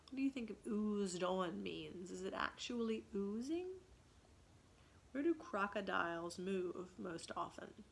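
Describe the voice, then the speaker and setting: A woman teacher reading a children's story aloud with dramatic expression and pausing to ask questions. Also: medium pitch, expressive, vocal fry, a little hiss or hum.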